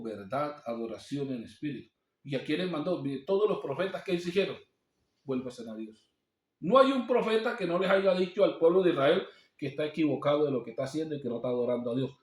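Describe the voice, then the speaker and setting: A male pastor preaching a sermon, asking rhetorical questions and quoting scripture. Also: a little high. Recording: echoey room.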